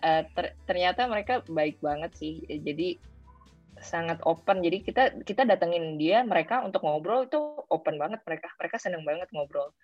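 A young woman with a Jakarta Indonesian accent talks casually as an interview guest. Her voice sits low for a woman, and she drops English phrases into her speech. The audio is dull and lo-fi, with faint music underneath. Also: animated, brisk. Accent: Indonesian, Jakarta colloquial. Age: young adult. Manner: informal, mixes in English phrases. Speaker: guest, former overseas student.

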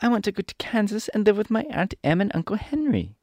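Man doing an earnest, high-voiced little girl. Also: sing-song, fast talker, a little mellow, no echo, heavily acted. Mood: pleading.

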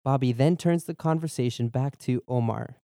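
Dark-voiced man teaching a bilingual lesson. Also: mid-range voice, no echo, clean studio sound. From born in Germany, raised in Boston. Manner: clear, measured explanations, slows down for repeat drills. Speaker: podcast language teacher. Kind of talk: bilingual lesson narration, Japanese and English.

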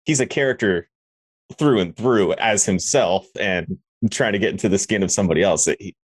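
A man's voice: sits low, moderate pace, dry recording. A man hosting a casual podcast interview, easygoing and appreciative of his guest.

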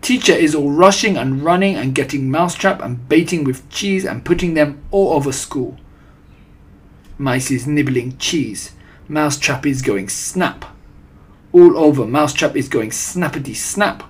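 A man's voice: a little high, a little slow, breathy edge. A man voicing a disgusting, imperious old witch from a storybook, commanding and gloating in broken, foreign-sounding grammar. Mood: loud, gloating. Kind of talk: storybook character voice.

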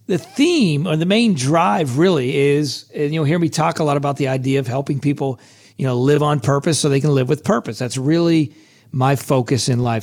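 A man hosting a motivational podcast, talking in a casual, chatty way with a hoarse, scratchy voice. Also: on the high side, darkish tone. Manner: casual and chatty, with many restarts. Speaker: podcast host.

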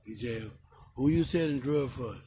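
A man in his sixties with a Texan accent, telling his life story as a guest in slow, drawn-out speech outdoors. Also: medium pitch. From Texas. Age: 60s. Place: outdoors in a park. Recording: noisy outdoor recording.

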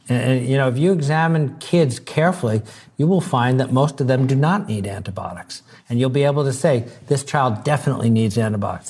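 An earnest man speaking as a doctor in a relaxed talk-show conversation. He explains things patiently, doubling words as he gets going, in an ordinary mid-range voice. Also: medium pitch, slightly rough. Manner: earnest, repeats words when starting sentences. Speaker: doctor, guest.

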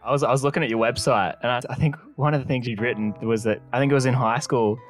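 A man co-hosting a podcast, asking questions in a relaxed, jokey way with some restarts. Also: mid-range voice, fast talker, faint music behind. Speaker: podcast co-host. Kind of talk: casual podcast chat.

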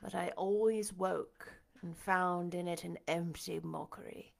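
A woman voicing a desperate, passionate blind gentleman in an audiobook, with a dramatic, demanding delivery. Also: lowish voice, fairly even intonation, measured pace, vocal fry, pitched below the performer's own voice. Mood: desolate, yearning. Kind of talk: audiobook character acting.

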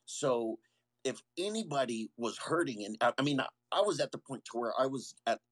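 A man's voice: mid-range voice, expressive, normal speed, dry recording. A man, a former Marine, telling his own story as an interview guest in a candid, earnest way, often stopping and restarting.

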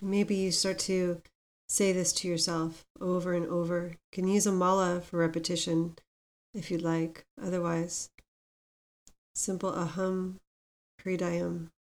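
A woman leads a guided meditation in a lowish voice with very little rise or fall. Her delivery is gentle and unhurried, with space left between the instructions. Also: flat delivery. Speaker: meditation guide, podcast host. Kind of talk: guided meditation with mantra chanting.

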